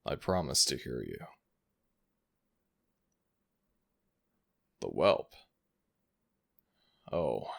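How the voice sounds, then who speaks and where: A man voicing an ancient male jackal god who is proud and teasing, in a husky voice with slow, formal speech, for an ASMR audio roleplay. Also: a bass voice, bright, forceful tone, exaggerated voice. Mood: gentle. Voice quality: gravelly, husky. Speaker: voice actor.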